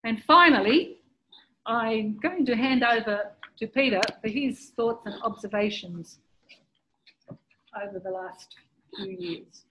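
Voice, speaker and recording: A woman's voice: fairly high pitch, slow pace, pauses often. A woman giving a community history talk over slides, presenting plainly and steadily. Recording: dull lo-fi recording with faint noise.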